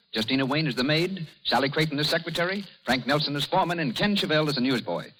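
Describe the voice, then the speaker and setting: A fast-talking male announcer reads formally in a bright, animated voice. It sounds like 1930s radio, with a light hiss on the recording. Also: on the high side, low-quality recording. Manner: crisp, formal announcer delivery.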